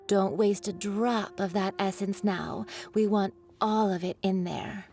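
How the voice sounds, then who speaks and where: A woman's voice: medium pitch, fairly even intonation, a little slow, a little mellow, close to an everyday voice. An actress plays a playful young woman who makes perfume in a fantasy game. She is teasing and coy, yet explains things like a patient teacher. Game music plays behind her voice. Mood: gently instructive. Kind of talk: video game voice acting. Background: background game music.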